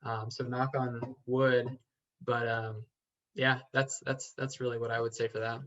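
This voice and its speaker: A man speaking as a podcast guest in an earnest, halting way, often stopping to restart his sentences. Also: mid-range voice, level tone, fairly bright tone. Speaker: podcast guest, real estate investor. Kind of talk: casual interview chat. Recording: slightly dull lo-fi sound.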